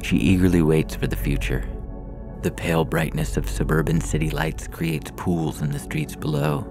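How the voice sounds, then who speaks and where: A man narrates fiction in an extremely low voice with heavy fry. His reading is measured and deliberate, set over clearly audible music. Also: expressive. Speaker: podcast story reader.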